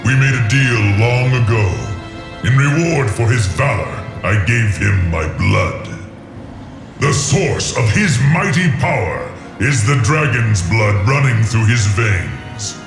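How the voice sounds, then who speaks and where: An actor playing a proud, menacing ancient dragon in a video game, speaking in a grandiose, imperious, archaic manner. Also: male voice, medium pitch, lively intonation, takes it slowly. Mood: proud, solemn. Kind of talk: dramatic video game voice acting. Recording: echoing voice under prominent game music. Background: game music.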